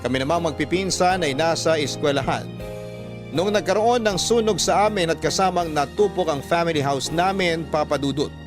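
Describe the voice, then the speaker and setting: A male actor plays a wistful storyteller looking back on family life in a Filipino radio drama. His voice sits a little high for a man and has a Filipino accent. Music plays clearly behind the narration. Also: moderate pace, natural voice. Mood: sorrowful. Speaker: storyteller reading a letter to a radio drama host. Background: music under the voice.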